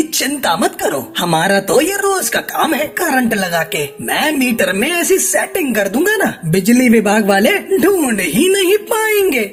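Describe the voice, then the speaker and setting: A crooked cartoon crow repairman, voiced by a woman, with a high voice that swings up and down in pitch. He is wheedling and easygoing, cajoling his customer. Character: wheedling male crow electrician, casually crooked.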